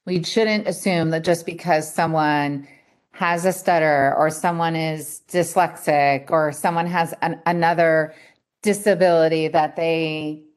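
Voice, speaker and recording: Woman, somewhat low pitch, interviewer, conversational workplace discussion style. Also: steady tone, a little slow.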